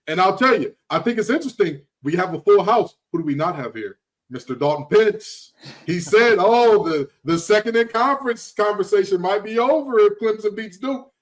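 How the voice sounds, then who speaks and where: A man's voice: high voice, lively intonation, normal speed. A man hosting a sports podcast, opening with wry, teasing remarks. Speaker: podcast host. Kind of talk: casual sports talk.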